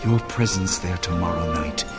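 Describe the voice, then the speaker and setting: An actor playing an earnest, courteous school leader in an audio drama, formal, measured and gravely persuasive. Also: male voice, sits low, lightly acted. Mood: grave, urgent. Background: music.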